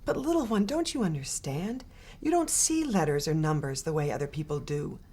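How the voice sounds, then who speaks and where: A woman voicing a kind male schoolteacher in a storybook, speaking softly and tenderly. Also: low voice, expressive, husky, pitched below the performer's own voice. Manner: soft, tender, slow-spoken.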